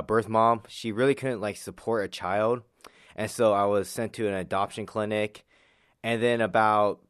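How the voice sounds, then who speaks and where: A young man from Arizona, a college student, talking about his life in a personal interview, hesitant with many pauses and restarts. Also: fairly low pitch, clean tone, dry recording, studio quality. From Arizona, USA. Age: young adult.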